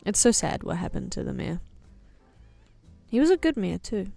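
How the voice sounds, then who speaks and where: A woman's voice: medium pitch, sing-song, moderate pace, vocal fry, dark tone, no room sound, soft background music. A young woman with a New Zealand accent chatting casually while drawing on stream, rambling with lots of fillers. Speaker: art streamer and English teacher.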